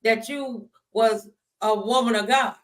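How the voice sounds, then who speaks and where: Higher-voiced folksy woman talk show host. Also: steady tone, unhurried. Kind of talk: conversational faith talk.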